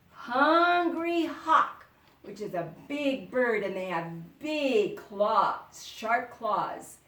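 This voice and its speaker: A woman's voice: on the high side, a bit bright. A woman reads a children's story aloud in a roomy, echoing space, a little away from the mic. She takes her time and performs it dramatically, stopping to explain words and ask the young listeners questions. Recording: roomy echo, distant microphone.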